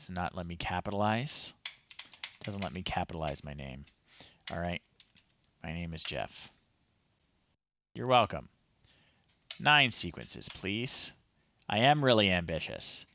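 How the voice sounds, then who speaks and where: Dull-sounding man with swooping pitch, casual streamer. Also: very animated, a little slow, long pauses, pushed and bright, a little hiss or hum. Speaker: retro game streamer, host. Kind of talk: casual live game-stream commentary, reading on-screen text aloud. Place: small indoor room, livestream setup.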